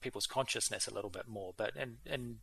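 A man's voice: lowish voice, a little fast, gravelly, pushed and bright, breathy edge. A man hosting a vet podcast, chatting casually with many fillers and restarts. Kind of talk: casual interview chat.

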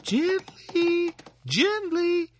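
A man voicing a tiny, clever and eager little boy who calls out in a high, excited voice. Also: drawn-out words, a little hiss or hum, full character voice. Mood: calling out.

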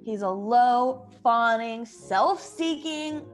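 High-voiced, put-upon young dog sitter played by a woman. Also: expressive, slow pace, faint music behind. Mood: exasperated. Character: weary, jealous young female dog sitter. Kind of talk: comedic radio play acting.

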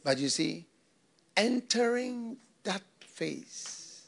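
An older pastor from Ghana delivers a sermon, his fairly high voice swinging widely up and down. He leans on repeated phrases and asks the congregation questions. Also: animated, extremely slow, gravelly, fairly bright tone. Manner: preaching with repetition and rhetorical questions.

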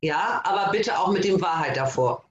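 Guest: bubbly, overexcited man, high voice, echoey room with a dull, muffled sound. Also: normal speed. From East Frisia, Germany. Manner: excited, bubbly, self-described as overexcited. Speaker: guest, course facilitator. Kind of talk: casual podcast interview.

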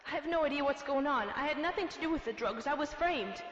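A woman's voice: high voice, expressive, fast, a bit bright. A woman playing a plucky, wry damsel in distress, theatrical and earnest, in an amateur radio drama. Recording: echoey hall, dull muffled sound.

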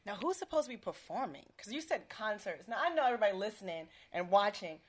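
A woman's voice: mid-range voice, big pitch swings, quick pace. Chatty woman interviewer. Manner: chatty, frequent affirming mm-hmms. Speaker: livestream interviewer. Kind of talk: casual interview.

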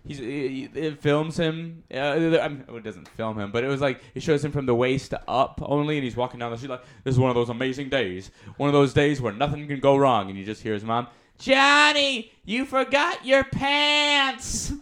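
A man playing a vain famous male movie star in a deadpan comedy bit, rambling and giggling. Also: mid-range voice, moderate pace, hardly any pauses, natural voice. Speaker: guest.

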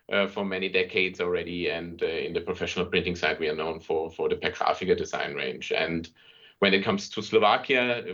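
Very deep-voiced German man, muffled recording. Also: a bit bright. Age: middle-aged. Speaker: interview guest, marketing and sales director. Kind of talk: conversational business interview answers.

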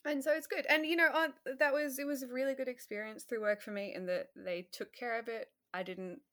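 A woman's voice: fairly high pitch, brisk, dry recording. Chatty woman, dry close sound. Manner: chatty and animated, acts out her own past remarks, swears casually. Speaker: guest, healthcare worker. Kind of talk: casual conversational interview.

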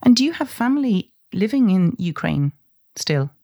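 A podcast host on a health show, asking questions of a guest in a measured, conversational way. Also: medium pitch, sing-song, warm tone, no echo, clean studio sound. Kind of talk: interviewing a guest.

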